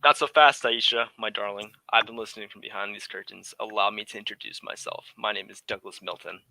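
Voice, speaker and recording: A man's voice: lowish voice, steady tone, fairly quick, vocal fry, sharp tone, full character voice, low-quality recording. A man playing a breezy, cynical English aristocrat in exile, in a theatrical radio drama manner. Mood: breezy, pleased. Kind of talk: 1940s radio drama acting.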